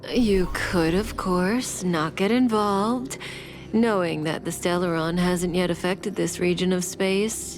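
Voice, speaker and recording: A woman voicing a calm, enigmatic female outlaw in a video game, measured, teasing and seductive. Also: on the low side. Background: game music.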